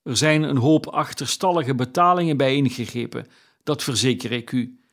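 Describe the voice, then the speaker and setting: A man voicing a reformed old miser, loud, elated and emphatic. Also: medium pitch, moderate pace, lightly acted.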